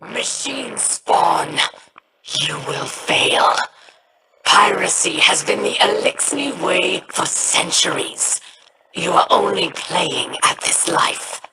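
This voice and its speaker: A menacing, bitter alien from a video game. The lines come slowly as hissed accusations, under heavy noise. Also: female voice, lowish voice, very animated, exaggerated voice. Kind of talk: video game character acting.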